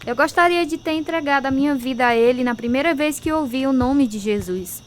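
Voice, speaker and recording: A woman's voice: sits high, fairly quick, a little hiss or hum, close to an everyday voice. An actress with a Brazilian accent playing a devout, earnest young convert telling her story in a radio drama. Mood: heartfelt. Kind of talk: radio drama narration and dialogue.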